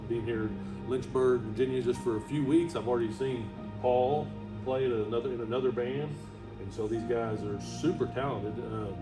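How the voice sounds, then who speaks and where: A man's voice: mid-range voice, steady tone, moderate pace, far from the mic, over music, low-quality recording. A man interviewing a band in a casual, loose way, often stumbling over his words. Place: large rehearsal space.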